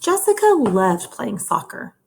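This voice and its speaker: Woman, slow delivery, slightly husky voice, expressive storybook narration, measured teacherly read-aloud. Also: medium pitch.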